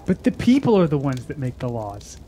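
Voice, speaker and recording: An actor playing a defiant, hot-headed lad in a fantasy radio drama, husky and challenging in delivery. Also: medium pitch, very animated, fairly quick, mellow, a little hiss or hum, natural voice. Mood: arguing. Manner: challenging, impetuous, declamatory.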